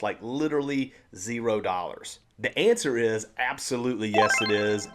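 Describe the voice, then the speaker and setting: Chatty low-voiced man talking to camera. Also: a bit bright. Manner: chatty and upbeat, with frequent restarts and repeated words. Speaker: real estate investor and educator. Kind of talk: casual talk to camera.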